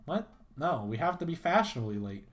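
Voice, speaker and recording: A man with a raspy voice, voicing a teasing, bossy teenage daughter in a dating game. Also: fairly high pitch, very animated, a little fast, faint music behind, pitched above the performer's own voice. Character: sassy teenage daughter, girl.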